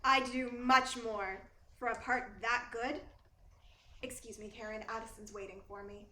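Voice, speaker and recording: A woman's voice: a little high, steady tone, moderate pace, lightly acted. A woman playing a young, falsely humble, manipulative actress in a theatrical radio play style. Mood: cool, menacing. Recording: roomy echo, faint noise.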